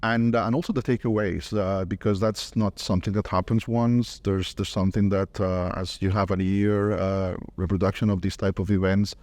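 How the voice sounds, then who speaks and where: A middle-aged man being interviewed at a conference, speaking haltingly and often repeating his words. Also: low voice, a little mellow, no echo. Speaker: interviewed corporate marketing director. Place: medium conference room, indoors.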